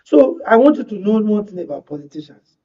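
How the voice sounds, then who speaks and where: High-pitched Nigerian man, emphatic debater. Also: very animated, a little fast, far from the mic, dull audio. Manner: emphatic, repetitive, rhetorical. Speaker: studio guest political analyst. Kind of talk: heated political debate.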